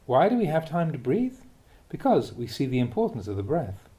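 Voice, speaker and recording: A man reading a Buddhist Dhamma talk aloud as a monk, in a measured, expressive way with emphatic stresses. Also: fairly high pitch.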